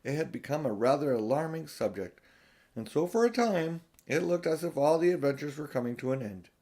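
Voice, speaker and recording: A man's voice: on the high side, animated, fairly quick, dark tone. An older man reading a children's storybook aloud, with casual, chatty asides. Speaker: audiobook reader.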